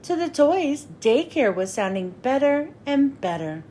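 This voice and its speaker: A woman narrating a children's storybook as a read-aloud host, with expressive, clearly phrased delivery. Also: sits high, measured pace, slight background noise.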